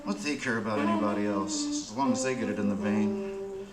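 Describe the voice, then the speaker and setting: An actor playing a jittery, down-and-out addict and petty thief who grumbles and mutters to himself in street slang, in a radio drama. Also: male voice, fairly low pitch, brisk, loud music behind the voice, close to an everyday voice. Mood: anxious, bitter. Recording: roomy, with faint background noise.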